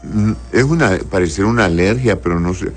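A man's voice: deep voice, fairly quick, breathy edge, faint music behind. A man with a Honduran accent, a doctor who reads out health questions and answers them informally, often repeating and rephrasing as he explains. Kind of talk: informal medical advice, reading questions aloud.

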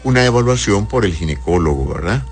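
A very low-voiced man, a doctor who reads out viewers' messages and answers each one patiently. He calls people "corazón" as he advises them. Quiet music plays under his voice. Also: animated, a little fast. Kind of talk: live call-in health advice show.

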